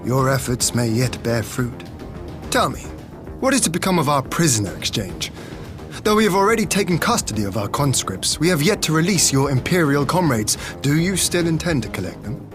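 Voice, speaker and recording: An actor playing a grave, noble warrior lord in a video game, with solemn, deliberate, archaic phrasing. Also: male voice, a little high, very animated. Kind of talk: video game cutscene acting. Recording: loud game music behind.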